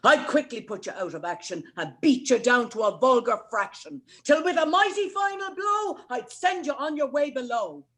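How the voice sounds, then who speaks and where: A woman playing an indignant, sharp-tongued young woman in a theatrical, scornful dramatic reading of comic verse. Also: high-pitched, expressive, normal speed, hardly any pauses. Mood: scornful, threatening. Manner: scornful, theatrical, emphatic delivery.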